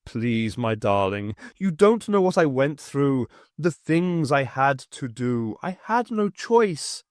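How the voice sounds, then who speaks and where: A man's voice: medium pitch, sing-song, takes it slowly, dry recording, studio quality. A man voicing a guilt-ridden, grieving country doctor, pleading with his dead wife. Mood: pleading anguished. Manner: pleading, desperate.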